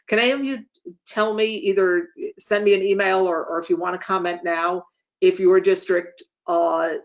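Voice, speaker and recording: A speaker hosting an online meeting, giving semi-formal updates in measured, formal wording with frequent hesitant pauses. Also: mid-range voice, creaky. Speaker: association leader hosting a meeting. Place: online webinar call. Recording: dull, lo-fi online meeting audio.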